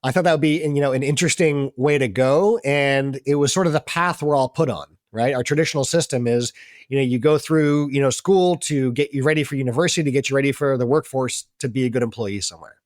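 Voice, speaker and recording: A man's voice: mid-range voice, a little mellow, no room sound, clean studio sound. A middle-aged American man from the Boston area, telling stories conversationally as a podcast guest in an informal, chatty way. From Boston area, US East Coast. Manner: chatty, informal, talks fast. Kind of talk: conversational interview storytelling.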